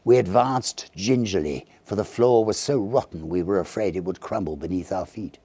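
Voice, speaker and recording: A man reading a Victorian ghost story as an audiobook narrator, theatrical and expressive, with deliberate phrasing. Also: sits low, no echo.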